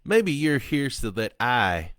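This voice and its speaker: A man's voice: medium pitch, sing-song, slow, a bit bright, no echo, studio quality, pitched above the performer's own voice. A man voicing a crude, gloating male killer on death row who mocks a priest. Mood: leering. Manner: taunting, vulgar, mocking.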